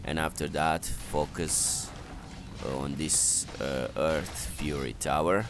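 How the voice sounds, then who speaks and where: A man's voice: extremely low pitch, fairly even intonation, slow, background noise. A man giving an unscripted strategy game walkthrough in a hesitant, step-by-step instructional manner. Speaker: gameplay guide narrator.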